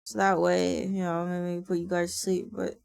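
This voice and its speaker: A woman streaming from her desk, chatting casually. She sounds tired and a little raspy, swears freely and laughs often. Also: fairly low pitch, monotone, normal speed, darkish tone, no room sound, clean studio sound. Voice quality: raspy when sleepy. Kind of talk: casual livestream chat.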